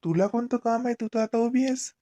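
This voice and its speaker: A woman doing a gruff, impatient young husband. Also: fairly high pitch, brisk, dark tone, voice pushed down, natural voice. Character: exasperated young husband and son.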